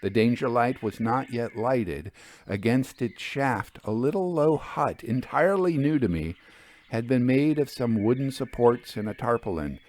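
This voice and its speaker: A man narrating classic literature as an audiobook, in a measured, formal and theatrical way. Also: medium pitch, expressive, darkish tone.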